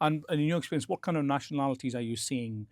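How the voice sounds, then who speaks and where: A man's voice: mid-range voice, racing, darkish tone, no room sound, clean studio sound. A man in his forties hosting an interview, asking questions and affirming the guest often, on a lapel mic. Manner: frequent short affirmations. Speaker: podcast host. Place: studio set with plants and armchairs.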